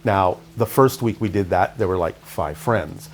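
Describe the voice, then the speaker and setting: A middle-aged man, raised in California, calmly recounting his experiences as an interview guest. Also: slight background noise. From Canada, raised in California. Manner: calm, measured, low-key delivery. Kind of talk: reflective interview storytelling.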